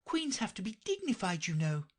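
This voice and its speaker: A woman's voice: medium pitch, wildly swooping pitch, fairly quick, voice pushed up. A woman voicing a polite, earnest young girl with a slightly timid manner. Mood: earnest girlish.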